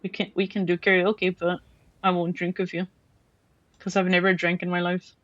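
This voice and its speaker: A woman with a Mexican accent talks to her stream chat while she plays, answering viewers and tripping over her own sentence starts. Her pitch stays fairly level and flat. The audio is dull and lo-fi. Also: moderate pace, no echo. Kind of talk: casual gaming stream chat.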